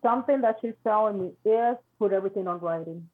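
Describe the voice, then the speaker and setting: A woman giving a spiritual reading, talking in a halting, reassuring way and often repeating her words. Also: mid-range voice. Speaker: psychic reader. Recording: tinny phone-like line.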